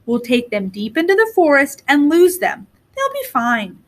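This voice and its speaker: A high female voice for a storybook wife, acted out by a woman reader. She is bitter and practical, pressing her point in a matter-of-fact, insistent way. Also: wildly swooping pitch, normal speed. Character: bitter, coldly practical young mother, sharp-tongued wife. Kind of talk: storybook character reading.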